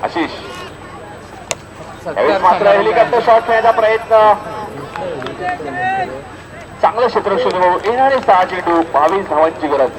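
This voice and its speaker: A man with a Maharashtrian accent doing live cricket commentary and announcements at an outdoor local tournament, emphatic and repeating the score as he calls the play. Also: high voice. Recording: noisy outdoor recording with loud crowd.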